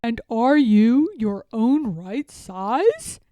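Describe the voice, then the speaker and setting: A woman acts out a male toad from a children's storybook, proud and easily worried. He cries out loudly and dramatically, with big swings in pitch, in a close, dry sound. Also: expressive, drawn-out words, dry recording, muffled recording. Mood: amazed, relieved. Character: boastful male toad turning anxious and lonely.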